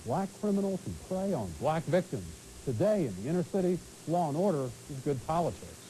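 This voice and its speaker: A man's voice: fairly high pitch, big pitch swings, fairly quick, mellow, poor audio. An American man delivering scripted broadcast commentary on a hissy late-eighties television tape. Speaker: news commentator.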